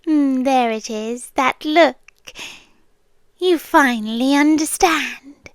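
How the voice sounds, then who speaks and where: A British woman playing a possessive, sweetly menacing siren queen in an intimate ASMR roleplay, speaking softly and teasingly and drifting into whispers. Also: sits high, slow, no echo, studio quality. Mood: smug, possessive.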